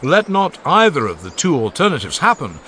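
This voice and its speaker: A man's voice: fairly high pitch, wildly swooping pitch, fairly quick, pushed and bright, a little hiss or hum. A man reading a classical funeral oration aloud in a solemn, declamatory way, his long phrases rising and falling. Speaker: audiobook narrator. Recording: faint background ambience and music under the voice.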